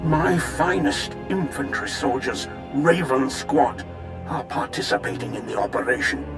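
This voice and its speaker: A man playing a deferential, flattering imperial fleet officer in a sci-fi game, courtly, formal and deliberate. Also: mid-range voice. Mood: concerned, formal. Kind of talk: scripted video game dialogue. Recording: music behind the voice.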